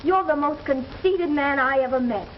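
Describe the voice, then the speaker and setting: A woman's voice: high voice, moderate pace, lightly acted. An actress playing a guarded young showgirl in a 1930s American film comedy, with dry, cool retorts. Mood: offended. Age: young adult. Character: wry, guarded young showgirl down on her luck. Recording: muffled, noisy 1930s film soundtrack.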